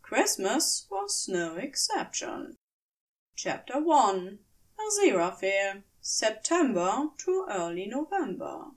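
A woman with a fairly high voice narrates a story in an expressive, strongly melodic way. She takes her time, pausing deliberately between phrases. She sounds a little way back from the microphone. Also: far from the mic. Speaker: podfic narrator. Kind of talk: scripted narration, audiobook reading of fiction.

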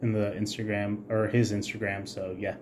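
A man co-hosting a podcast, telling stories conversationally in a halting, informal way with casual swearing. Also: lowish voice, level tone, slight background noise. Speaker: podcast co-host.

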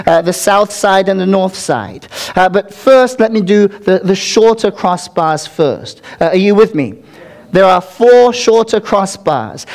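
A man's voice: sits high, darkish tone. A man preaching a sermon to his congregation as its pastor, earnest, with call-and-response asides to the listeners.

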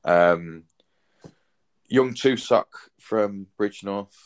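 Brief: thirties male host, lowish voice, loose rambling chat, swears easily. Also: extremely slow. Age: 30s. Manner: rambling, swears casually, many fillers. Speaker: podcast host. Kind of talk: casual chat.

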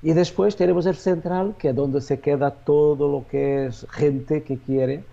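A man, a native Portuguese speaker with a Portuguese accent, answering interview questions as a real estate agent in a halting way, with many restarts and fillers. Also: on the high side, fairly even intonation, creaky, warm tone, lo-fi sound.

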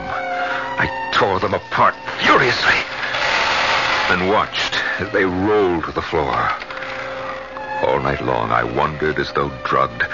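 An actor playing an anguished, suspicious middle-aged husband in a 1970s radio drama, brooding and intense in both speech and narration. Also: male voice, a bass voice. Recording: muffled vintage radio broadcast, music underneath.

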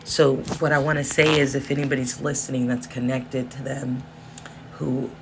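A hoarse woman from Los Angeles hosting a solo podcast, talking in a rambling, chatty way that keeps interrupting itself. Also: extremely low pitch, level tone, moderate pace. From South Central Los Angeles. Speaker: podcast host. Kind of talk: casual solo podcast monologue. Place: indoor room with space heater. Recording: faint background whirring noise.

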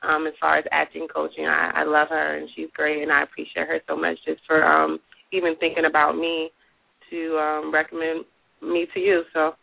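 Nasal, gravelly woman with a cold on a phone line. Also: low-pitched, monotone, normal speed, dry recording. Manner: friendly, upbeat, many ums. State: has a cold, a little nasal. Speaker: guest, casting director. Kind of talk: radio interview guest. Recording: tinny phone line, dry.

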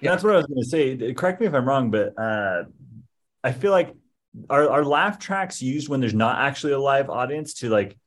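A man's voice: mid-range voice, lively intonation, normal speed. A man co-hosting a podcast in casual chat, with a playful, joking manner. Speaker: podcast co-host.

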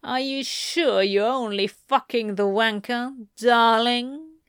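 A woman's voice: fairly high pitch, normal speed, dry recording, studio quality, close to an everyday voice. A woman voicing a leering male demon duke in a story, with a slimy, taunting manner. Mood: menacing, taunting.

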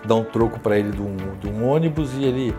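A man in his sixties with a São Paulo accent, recalling his working life in an interview, talking slowly with many restarts and tag questions. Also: mid-range voice, music in the background. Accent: São Paulo Portuguese. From São Paulo, Brazil. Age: 60s. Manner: unhurried, hesitant, frequent tag questions and restarts. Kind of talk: reflective life-story interview.

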